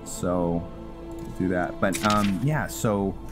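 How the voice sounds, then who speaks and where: A man giving casual game commentary as he plays, thinking aloud in a halting way. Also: low-pitched. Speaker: gaming video host. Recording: loud game music behind the voice. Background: loud video game music.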